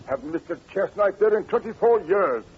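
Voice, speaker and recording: An actor playing a dim, flustered older upper-class English duke who stammers and repeats himself, in vintage radio drama style. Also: male voice, high-pitched, lively intonation, fairly quick, slightly rough, heavily acted. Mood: chuckling pride. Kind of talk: 1940s radio acting. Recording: tinny, band-limited old radio recording.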